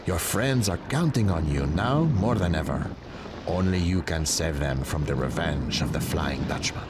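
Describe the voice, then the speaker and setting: A wise and solemn guide figure with an extremely deep voice. He is formal, reassuring and encouraging, in cartoon game style, with clear noise behind him. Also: animated, normal speed. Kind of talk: cartoon video game voice acting.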